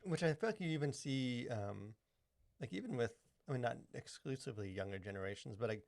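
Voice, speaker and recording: A man's voice: mid-range voice, animated, fairly quick, gravelly, darkish tone. A man co-hosting a podcast in casual discussion, rambling along with lots of "like" and "you know". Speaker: podcast co-host. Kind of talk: casual discussion of culture and faith.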